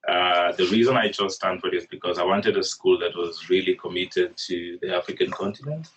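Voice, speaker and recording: A young man from Kenya with a very deep, husky voice answers panel questions in a flat, measured way. The video-call audio is dull and sounds a little far from the mic. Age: young adult.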